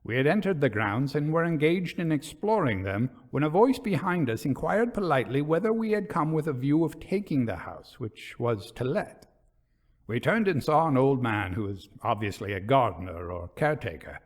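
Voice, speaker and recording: A man reading a literary ghost story as a storyteller, in measured, dramatic, formal narration. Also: medium pitch, lively intonation.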